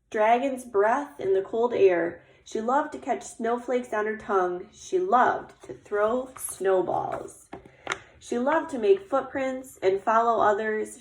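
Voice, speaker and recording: A woman's voice: on the high side, takes it slowly, a little hiss or hum. A woman teacher reading a children's story aloud expressively and explaining it simply with questions. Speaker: kindergarten teacher. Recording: echoey room.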